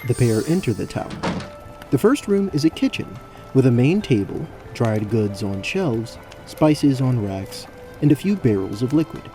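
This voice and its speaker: A man's voice: mid-range voice, very animated, moderate pace, over music. A man narrating a scripted fantasy story as the narrator of an audio drama.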